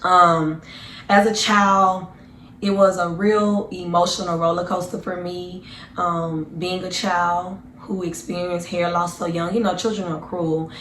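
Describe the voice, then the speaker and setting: A woman in her twenties with a hoarse, deep, raspy voice, chatting earnestly and casually to her viewers as a vlogger. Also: level tone, unhurried, a bit bright, reverberant, slight background noise, poor audio. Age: 20s. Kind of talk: casual personal storytelling to camera.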